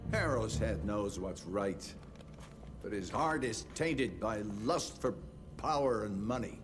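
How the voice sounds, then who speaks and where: A slow, fatherly old mentor. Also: fairly low pitch, lively intonation. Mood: stern. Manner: measured and fatherly, grows intense. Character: older male mentor, paternal and stern. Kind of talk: video game cutscene acting. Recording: game audio with reverb and music.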